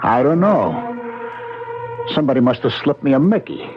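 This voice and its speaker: Man acting tough New mobster for vintage radio: bewildered, scheming, very animated delivery, music underneath. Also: mid-range voice, normal speed, lightly acted. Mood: puzzled, urgent. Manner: streetwise, slangy, excitable. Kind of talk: 1970s radio drama acting with first-person narration. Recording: dull 1970s radio broadcast recording.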